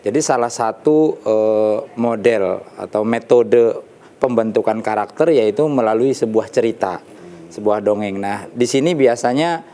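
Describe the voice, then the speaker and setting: Warm-toned man explaining calmly. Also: lowish voice. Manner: measured explanation with occasional fillers. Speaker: Islamic storyteller and teacher. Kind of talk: explaining in a TV interview. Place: TV studio.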